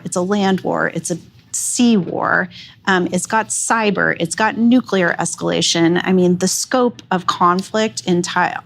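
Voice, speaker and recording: A woman speaking as a panelist at a policy event, measured and earnest, with frequent "um" and "you know" fillers. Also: lowish voice, gravelly. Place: event hall before an audience.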